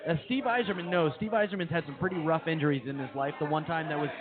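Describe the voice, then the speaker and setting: A man's voice: fairly high pitch, quick pace. A man co-hosting a casual sports talk show, talking with eager fan enthusiasm. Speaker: co-host. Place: brewery, live show. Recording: tinny phone-like sound with crowd behind.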